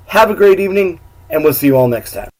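A man's voice: fairly high pitch, animated, fast, darkish tone, low-quality recording. A man hosting and signing off a radio show. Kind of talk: hosting sign-off.

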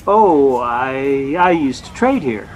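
An actor with an American accent playing a jealous, bumbling young husband, sulky, boastful and sheepishly stammering, in a 1940s radio comedy. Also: male voice, mid-range voice, expressive, takes it slowly. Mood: sheepish, evasive. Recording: noisy old-time radio recording.

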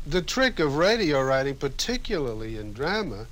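Older man reminiscing, medium pitch. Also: big pitch swings, moderate pace, a bit bright, a little hiss or hum. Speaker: interviewee, veteran radio writer. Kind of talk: spoken reminiscence.

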